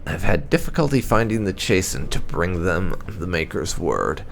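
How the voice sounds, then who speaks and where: A man narrating game lore notes aloud in a measured, storyteller-like way. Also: low voice, expressive, gravelly, slight background noise. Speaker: gaming video host.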